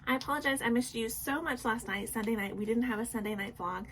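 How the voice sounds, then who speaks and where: A woman's voice: a little high, fast talker, fairly bright tone. A young woman with a sick, sore throat chatting casually in a nightly vlog from home, apologetic and full of ums and likes. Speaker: vlogger.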